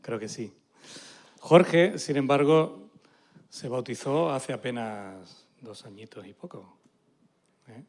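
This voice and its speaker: A man interviewing church members during a service, lively and joking as he introduces them. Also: mid-range voice, slow, pauses often.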